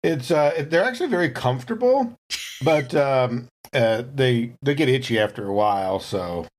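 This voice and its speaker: Jokey man chatting, medium pitch. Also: animated, moderate pace. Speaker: podcast cast member. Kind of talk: casual chat.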